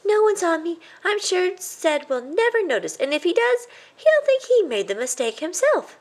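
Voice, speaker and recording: A sly young boy mouse from a children's storybook, played by a woman, pitched up high and very animated. He is eager and childlike, always justifying himself as he schemes. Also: normal speed, heavily acted. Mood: scheming, pleased.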